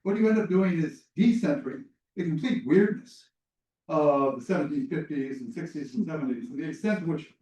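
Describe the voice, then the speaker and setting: A man's voice: a little high, brisk, dark tone, dull audio. A man in a seminar giving a thoughtful academic comment, with some hesitant fillers. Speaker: seminar participant. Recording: echoey room, far from mic.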